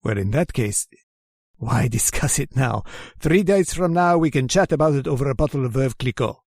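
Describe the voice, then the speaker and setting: An actor playing a genteel, sadistic general who hunts men, speaking with mocking, formal courtesy in an old-time radio drama style. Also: male voice, medium pitch, animated, moderate pace, darkish tone, dry recording. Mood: smug, menacing courtesy.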